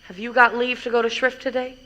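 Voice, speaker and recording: A woman's voice: fairly high pitch, steady tone, fast, pushed and bright, poor audio. A woman acting a weary, complaining, teasing old nursemaid in a Shakespeare play, grumbling and dragging out her words. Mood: bustling, sly.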